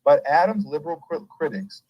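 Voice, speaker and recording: A man's voice: on the high side, a little fast, no room sound, lo-fi sound. Sex: man. Role: news commentary host. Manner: reads headlines, adds asides, dry close mic.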